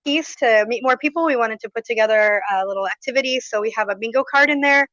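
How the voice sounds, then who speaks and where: A woman's voice: on the high side, fast talker, a bit bright, no room sound, dull audio. Friendly woman announcing a bingo activity. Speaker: volunteer organizer introducing an activity. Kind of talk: short announcement. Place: small conference room. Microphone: handheld microphone.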